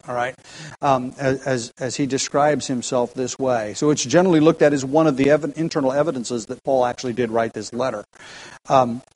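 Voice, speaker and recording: A man's voice: on the high side, a little mellow, rough recording. A man preaching an expository sermon, earnest and emphatic, often restarting phrases.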